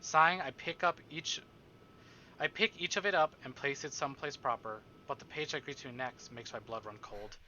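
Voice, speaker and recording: A man's voice: mid-range voice, moderate pace, bright, forceful tone, highs cut off, slight background noise. A man livestreaming a visual novel, reading it aloud dramatically and adding casual joking asides.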